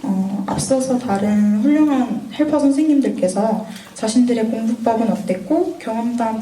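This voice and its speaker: A woman's voice: fairly high pitch, dark tone, reverberant, distant mic, slight background noise, low-quality recording. A woman in her twenties from North Gyeongsang with a sore throat, giving an earnest, lightly scripted mentoring talk to students. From North Gyeongsang, Korea. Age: 20s.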